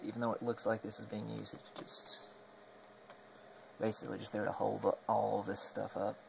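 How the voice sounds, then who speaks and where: Low, flat-voiced man with background hum. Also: normal speed, vocal fry, rough recording. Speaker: hobbyist demonstrating a home radio antenna setup. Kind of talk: casual unscripted walkthrough demo. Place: at home, moving between indoors and outside. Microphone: handheld phone while filming.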